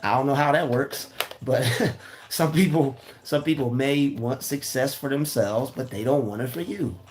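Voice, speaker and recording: A man with a raspy voice giving a casual, preachy motivational talk, repeating his points. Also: medium pitch, moderate pace, a little hiss or hum. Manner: repeats phrases, preachy and earnest. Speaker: motivational vlogger.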